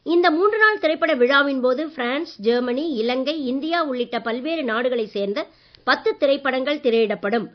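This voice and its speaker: A woman newsreader reading a regional radio bulletin in a formal, measured way. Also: high-pitched. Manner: measured, even delivery. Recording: dull lo-fi radio broadcast.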